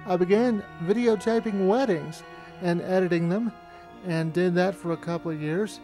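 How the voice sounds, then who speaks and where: A man's voice: high voice, moderate pace, dark tone, faint music behind, rough recording. A middle-aged American man narrating a look back at his amateur filmmaking. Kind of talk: retrospective narration to camera.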